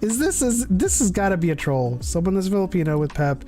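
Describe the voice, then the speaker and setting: A man's voice: high-pitched, sing-song, fairly quick, dark tone, faint music behind. A man hosting a podcast, rambling and often restarting his sentences. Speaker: podcast host. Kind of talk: casual chat.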